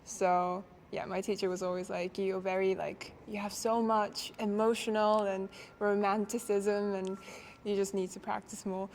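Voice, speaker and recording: A young woman from Japan talks through her answers in a relaxed, reflective way, often pausing with fillers and small laughs. Her voice sits at an average pitch for a woman. A faint background noise runs under the recording. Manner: thoughtful, casual, laughs often, hesitant fillers. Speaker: musician interviewee.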